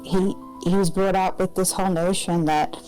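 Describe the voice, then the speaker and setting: A woman with a fairly low voice describes her character in a tabletop game. She speaks hesitantly, restarting and searching for words as she goes. Faint guitar music plays in the background. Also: steady tone, normal speed, mellow, poor audio. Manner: hesitant, with many fillers and restarts. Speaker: player.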